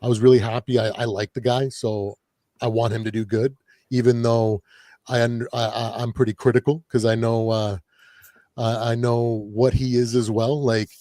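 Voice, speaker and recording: Hoarse man in a rambling chat. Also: mid-range voice, level tone, normal speed, warm tone, no echo. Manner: rambling, lots of fillers and self-corrections. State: raspy voice from partying. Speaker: guest, fan channel creator. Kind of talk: casual podcast chat.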